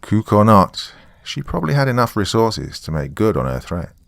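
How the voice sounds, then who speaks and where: Haunted male writer, performed by a male narrator: low, dry close sound, wry first-person horror confession. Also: very animated, normal speed, creaky, no echo. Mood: grave, confiding. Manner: musing, wry, conversational asides. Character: weary, frightened male writer recording a confession on tape.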